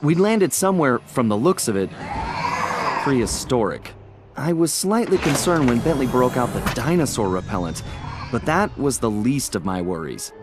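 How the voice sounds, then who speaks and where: Man acting an animated, wry adventurer-thief narrator. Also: mid-range voice, lightly acted. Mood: wry concern. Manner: wry storyteller, dramatic pauses. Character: smooth-talking male cartoon thief, adventurous, easygoing gang leader. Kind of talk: dramatic storytelling narration for a video game. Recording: background music under the voice.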